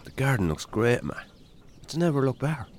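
An actor playing a weary, wry Irish farmer in a naturalistic radio drama. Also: male voice, medium pitch, very animated, brisk, slight background noise, natural voice. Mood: fond, content.

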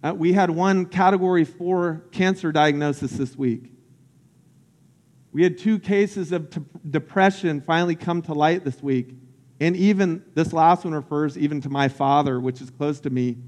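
High-voiced pastor preaching in a hall. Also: male voice, normal speed, darkish tone. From Central Florida. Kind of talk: sermon.

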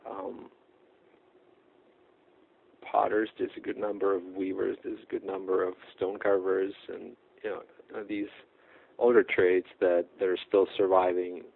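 A man's voice: low-pitched, little pitch movement, measured pace, vocal fry, long pauses, breathy edge. A middle-aged man from the Czech Republic, talking as a guest in a casual interview, hesitant and full of fillers. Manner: hesitant, many fillers and restarts. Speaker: guest, blacksmith. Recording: tinny phone-like audio.

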